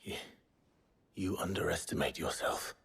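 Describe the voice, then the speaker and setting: A man voicing a stoic, kind outlaw leader in a video game, reserved, terse and soft-spoken. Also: deep voice, a little slow, gravelly, slightly rough, full character voice. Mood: concerned.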